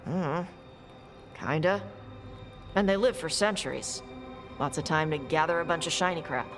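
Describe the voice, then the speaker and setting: A woman voicing a blunt young dragon hunter who talks in short, gruff, dismissive lines in a game cutscene. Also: deep voice, moderate pace, over music, close to an everyday voice. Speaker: treasure hunter. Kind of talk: video game cutscene dialogue.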